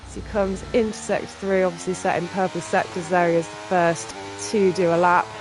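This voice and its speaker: A sim racing commentator calls a live session, voice thick and stuffy from a cold. The pitch sits in the middle, and the delivery jumps quickly with excitement at fast laps. Music plays clearly in the background. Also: poor audio. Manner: excitable, quick reactions to lap times. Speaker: race commentator.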